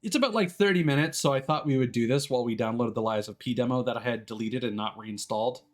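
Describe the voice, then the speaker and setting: A man's voice: medium pitch, fast. A man streaming a game, switching between reading narration aloud and casual commentary.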